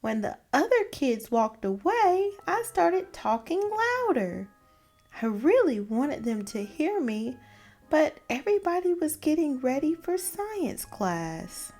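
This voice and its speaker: A woman's voice: high voice, big pitch swings, slow pace, a little mellow, voice pushed up, exaggerated voice. A woman voicing an anxious, earnest young boy zebra in a storybook, speaking expressively. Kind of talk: storybook character voice. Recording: music behind the voice.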